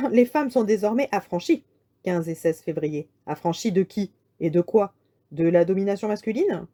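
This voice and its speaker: A woman reading a scripted lecture in a measured, articulate way with deliberate emphasis. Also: medium pitch, expressive, warm tone. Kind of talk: scripted lecture read aloud.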